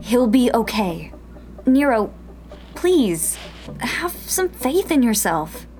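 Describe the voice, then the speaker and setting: A woman playing a fretful, sharp-tongued young rebel agent in a sci-fi audio drama. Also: on the high side, big pitch swings, slow, faint music behind. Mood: reassuring.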